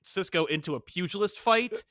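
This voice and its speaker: A man's voice: sits high, normal speed, a bit bright, no room sound, studio quality. A man co-hosting a casual podcast in a jokey, conversational way. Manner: jokey, acts out imagined dialogue. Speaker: podcast co-host. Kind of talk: casual chat.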